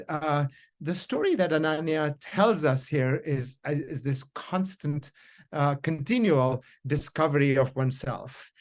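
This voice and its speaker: A man's voice: a little high, darkish tone. A middle-aged man from India, whose first language is Hindi, giving reflective academic remarks on a panel with many fillers. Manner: reflective, storytelling, frequent fillers. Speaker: panel discussant.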